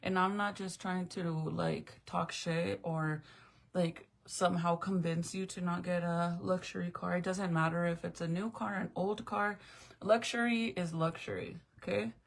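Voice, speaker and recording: A woman in her late thirties talks casually to her livestream viewers in a low, gravelly voice. She rambles from one thought to the next. She is frank and drops the occasional swear word. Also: fairly even intonation, unhurried, a little hiss or hum. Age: 30s. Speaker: livestream host.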